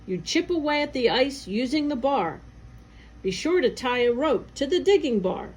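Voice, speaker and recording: A woman's voice: sits high, animated, moderate pace, darkish tone, husky. A woman reading a scripted educational article aloud for children, in a careful, instructional way. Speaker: educational narrator, agency staff reader.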